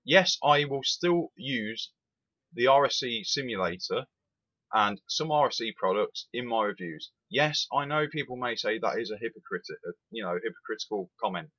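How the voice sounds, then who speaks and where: A man's voice: medium pitch, normal speed, husky, muffled recording. A man, giving an opinionated, emphatic rant as a rail sim reviewer. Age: 20s. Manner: animated, emphatic, repeats itself.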